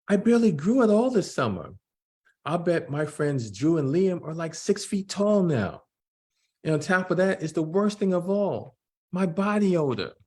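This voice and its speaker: A man's voice: high-pitched, sing-song, moderate pace, darkish tone, voice pushed up. A man voicing an anxious, earnest thirteen-year-old schoolboy in a children's graphic novel read-aloud, with a fretful, youthful manner.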